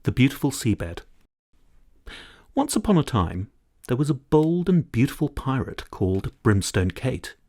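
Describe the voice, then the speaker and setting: A man reading a children's storybook aloud in expressive, scripted narration. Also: mid-range voice, unhurried, no echo. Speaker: audiobook narrator.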